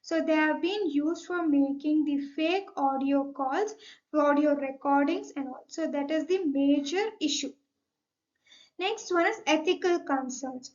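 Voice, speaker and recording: A woman's voice: sits high, unhurried. A young woman with an Indian accent gives an explanatory slide presentation, methodically signposting each point. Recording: dull, lo-fi microphone sound.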